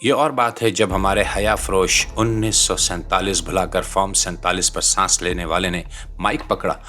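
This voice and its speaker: Deep, forceful Pakistani male commentator. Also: steady tone, moderate pace, slight background noise. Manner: indignant, dramatic rhetorical delivery with formal literary vocabulary. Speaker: commentator, channel presenter. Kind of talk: scripted political commentary voice-over.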